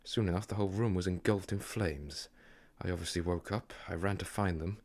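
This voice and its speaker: Actor as anguished husky low-voiced man. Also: fairly even intonation, fairly quick, darkish tone. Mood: grieving. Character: anguished, guilt-ridden widower, emotional and bewildered. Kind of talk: audio drama acting.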